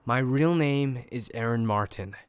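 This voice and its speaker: A man's voice: medium pitch, measured pace, clear, resonant tone, no room sound, natural voice. A man playing a driven, guarded undercover agent in an audio crime drama. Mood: guarded, grave. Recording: faint music behind.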